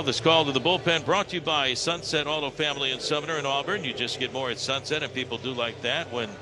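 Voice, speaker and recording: An American man calling a live baseball game on the radio, in clipped descriptive phrases with some drawn-out calls. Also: mid-range voice. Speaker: baseball radio play-by-play announcer. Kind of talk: live play-by-play commentary. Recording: radio broadcast with clear background noise.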